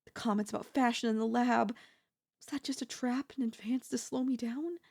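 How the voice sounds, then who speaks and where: A woman's voice: on the high side, fairly even intonation, normal speed, creaky, a little mellow. A woman playing an obsessive, scheming yandere in a theatrical, haughty manner with formal phrasing, in an ASMR audio roleplay. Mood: frustrated, incredulous.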